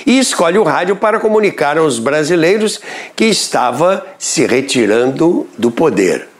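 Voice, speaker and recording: A man, narrating a scripted history documentary in a measured storytelling delivery. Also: fairly high pitch, sing-song.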